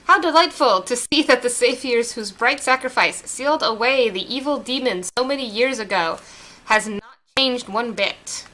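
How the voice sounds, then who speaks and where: A woman's voice: on the high side, sharp tone, low-quality recording. Woman reading as a solemn ancient tree spirit. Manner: slow, formal, earnest reading of game text.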